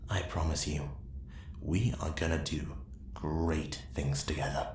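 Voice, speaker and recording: Man voicing a deep-voiced, calculating Sith Lord. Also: fairly even intonation, a little mellow. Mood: softly persuasive. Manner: measured, persuasive, commanding. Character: calculating male Sith Lord, smooth seducer to dark side. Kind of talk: ASMR roleplay acting. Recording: echoey with faint background noise.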